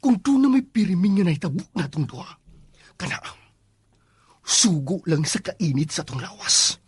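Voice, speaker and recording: Husky, cold young master. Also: male voice, high voice, very animated, normal speed, no echo, exaggerated voice. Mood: cold, annoyed. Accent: Filipino, Cebuano. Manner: curt, scolding. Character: cold, dismissive, impatient young master of the house. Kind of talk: Philippine radio drama acting.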